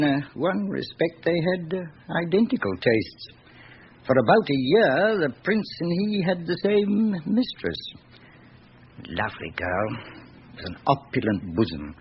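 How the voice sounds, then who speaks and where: An actor playing a genial, worldly seventy-seven-year-old lawyer in a classic radio drama, musing and reminiscing urbanely with thoughtful hums. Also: male voice, medium pitch, animated. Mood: amused, wistful. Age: older. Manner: musing, discursive, urbane, with reflective hums and pauses. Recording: dull, hissy old radio recording.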